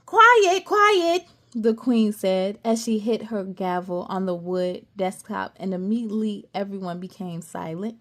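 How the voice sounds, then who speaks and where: A woman reading a children's story aloud expressively, adding gasps and excited asides. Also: mid-range voice, moderate pace, hardly any pauses.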